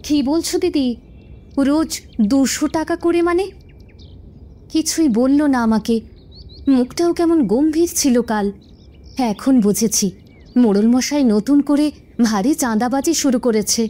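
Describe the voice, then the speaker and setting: Woman acting high-pitched indignant village woman. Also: unhurried, long pauses, mellow. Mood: surprised, troubled. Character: indignant village woman urging neighbours to resist injustice. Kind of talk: Bengali cartoon story dialogue.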